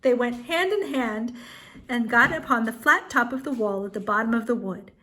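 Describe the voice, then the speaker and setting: A woman storyteller reading a children's tale aloud expressively, with dramatic pauses and emphasis. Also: fairly high pitch, sharp tone.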